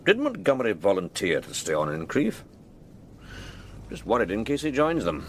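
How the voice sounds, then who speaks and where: An actor playing a wry, deliberate senior police detective, a man questioning his team in a radio drama. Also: lowish voice, wildly swooping pitch, a little hiss or hum. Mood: dry, probing. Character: wry senior detective leading a case briefing.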